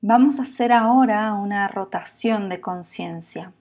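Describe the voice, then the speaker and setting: Very slow Latin American woman guiding meditation. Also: medium pitch. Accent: Latin American Spanish with voseo. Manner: calm, soothing, unhurried instruction with long pauses. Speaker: yoga nidra meditation guide. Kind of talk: guided sleep meditation.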